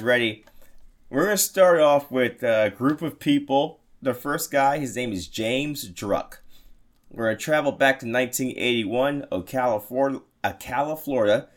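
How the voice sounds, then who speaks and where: A man's voice: medium pitch, animated, unhurried. A man hosting a podcast, telling a crime story in a crude, jokey, rambling way. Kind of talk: casual comedic storytelling.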